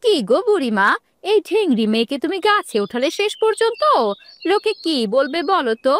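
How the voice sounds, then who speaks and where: A nosy village neighbour from a fairy-tale cartoon, performed by a woman. She has a high voice and a taunting, gossipy delivery that needles whoever she talks to. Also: very animated, brisk, no echo, clean studio sound.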